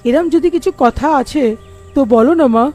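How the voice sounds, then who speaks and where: Woman as a cartoon young man, high-pitched, soft dark tone, curious, naive, exclamatory. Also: fairly quick, close to an everyday voice. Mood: puzzled. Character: curious, sceptical young man back home from the city. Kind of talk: cartoon dubbing.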